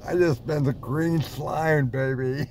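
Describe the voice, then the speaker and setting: An older man giving unhurried, mumbling commentary from inside his car in a car wash, easily amused. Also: a little high, fairly even intonation. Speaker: vlogger. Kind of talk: casual running commentary. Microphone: phone or camera on the dashboard. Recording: loud car wash noise behind.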